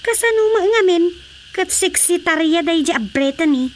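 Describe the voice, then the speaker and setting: Woman acting a high-pitched, animated younger Filipina. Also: normal speed, slight background noise. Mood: exasperated. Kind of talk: Filipino radio drama comedy acting.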